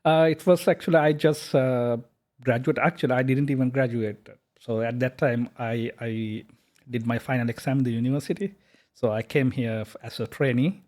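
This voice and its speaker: A man, a software company founder talking casually in an interview, hesitant with many fillers and restarts. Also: medium pitch, fairly even intonation, moderate pace. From Sri Lanka. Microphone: podcast microphone.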